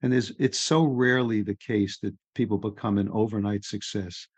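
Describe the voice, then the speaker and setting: A man's voice: fairly low pitch, steady tone, moderate pace, breathy edge, dry recording, lo-fi sound. A man hosting a podcast interview, talking in an easygoing, encouraging way. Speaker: podcast host. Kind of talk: casual interview chat.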